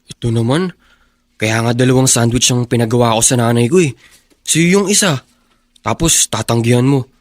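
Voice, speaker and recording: A man's voice: mid-range voice, moderate pace, dry recording, studio quality, close to an everyday voice. An actor playing a teenage schoolboy who cheerfully coaxes his sad friend. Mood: upbeat. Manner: upbeat, coaxing.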